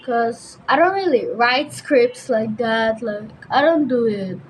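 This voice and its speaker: This is a teenage young woman. Her voice sits on the high side. She talks in a casual, chatty vlog style, and there is audible noise behind her. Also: lively intonation, slow, poor audio. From Bangladesh, raised mostly in Dhaka. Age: teenager, fifteen. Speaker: YouTuber answering viewer questions.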